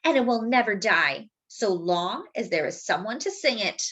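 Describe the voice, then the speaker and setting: A woman's voice: big pitch swings, moderate pace, fairly bright tone, husky. A woman voicing a brave, defiant little male rooster in a storybook, steadfast and declaiming. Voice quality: high crowing on its calls.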